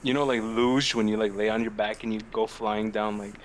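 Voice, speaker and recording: A man's voice: on the low side, fairly quick, slight background noise, rough recording. A man co-hosting a podcast in casual banter, telling stories with slang, swearing and a ready laugh. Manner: laughing, animated storytelling, heavy slang and swearing. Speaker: podcast co-host.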